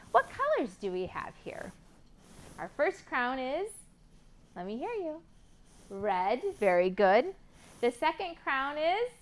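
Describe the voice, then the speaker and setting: A woman hosting a library storytime for young children, reading expressively and prompting them with encouraging questions. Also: high-pitched. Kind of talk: picture-book reading and sing-along for young children.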